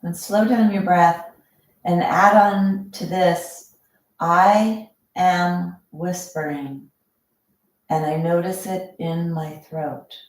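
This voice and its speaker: Echoey, distant woman guiding breathwork. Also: fairly low pitch. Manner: calm, unhurried, instructive, with drawn-out phrases. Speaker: yoga and breathwork teacher. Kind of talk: guided breathing meditation instruction. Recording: very echoey room, distant microphone.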